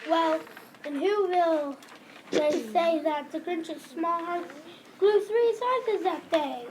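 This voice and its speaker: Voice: very high voice, slow pace, darkish tone. A young school-age child reading a rhyming storybook script aloud as a narrator. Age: child, elementary school. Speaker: readers theater narrator. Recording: background ambient noise.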